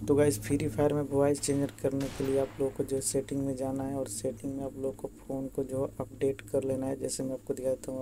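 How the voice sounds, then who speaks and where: A man narrates a casual step-by-step tutorial in a very monotone delivery. His voice is dark and creaky, and he speaks straight to the viewer in a repetitive, instructional way. There is some background noise on the recording. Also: medium pitch, rough recording. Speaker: tutorial video host. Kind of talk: casual how-to narration over screen recording.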